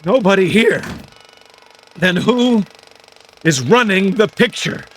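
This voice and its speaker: An actor playing a lonely, distressed Air Force man with no memory, rambling to himself haltingly and wryly in a radio drama. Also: high-pitched, animated, slight background noise. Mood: bewildered. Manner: rambling to himself, halting, wry joking turning agitated.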